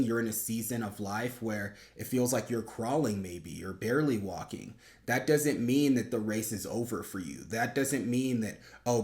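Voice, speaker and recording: A man's voice: fairly low pitch, a little mellow. A young man giving earnest, reflective faith-based commentary in a conversational, explanatory way, as the host of a review video. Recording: roomy, echoing sound.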